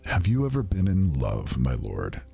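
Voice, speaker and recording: A man voicing a sorrowful, lovelorn male traveler who speaks slowly in mournful, old-fashioned phrasing. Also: low-pitched, wildly swooping pitch, creaky, dark tone, husky, soft background music, voice pushed down, heavily acted. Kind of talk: storytelling.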